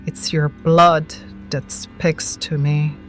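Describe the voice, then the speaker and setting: Old starved vampire witch, low n slow, cruel, mocking, audio drama w music under. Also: little pitch movement. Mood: taunting. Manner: slow, taunting, theatrical menace.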